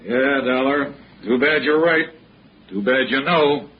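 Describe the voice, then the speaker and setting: An American actor playing a menacing, gloating criminal brother in a sneering 1960s radio crime style. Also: male voice, medium pitch, slow pace, natural voice. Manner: sneering, taunting. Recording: muffled, hissy old radio broadcast.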